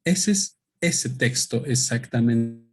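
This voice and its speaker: A man gives an unscripted talk about his book into a microphone, thinking aloud with many pauses and restarts. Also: medium pitch, animated, a little mellow. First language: Spanish. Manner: thoughtful, hesitant, with many fillers and restarts. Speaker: author presenting his book.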